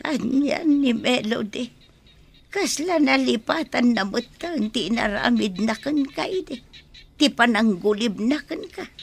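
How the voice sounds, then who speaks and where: Creaky-voiced, caring Ilocano mother. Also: a little high, animated, a little slow, exaggerated voice. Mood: concerned, motherly. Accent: Ilocano Filipino. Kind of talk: Filipino radio drama acting.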